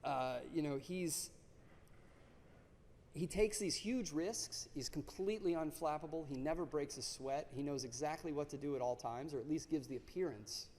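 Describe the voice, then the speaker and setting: A man introduces a guest lecturer from a podium in an auditorium. His talk is halting and full of fillers and restarts. The sound carries a long hall reverb. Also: fairly high pitch, darkish tone, a little hiss or hum.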